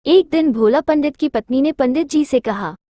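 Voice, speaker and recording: A woman's voice: high-pitched, moderate pace, warm tone, no room sound, clean studio sound. A woman narrating a scripted children's moral story.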